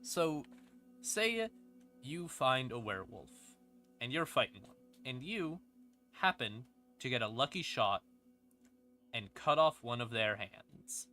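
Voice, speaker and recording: Male lore streamer, unhurried and theatrical, punchy bright tone, informal storytelling with dramatic pauses over faint ambient music. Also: mid-range voice, sing-song. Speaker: streamer explaining game lore. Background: eerie ambient music.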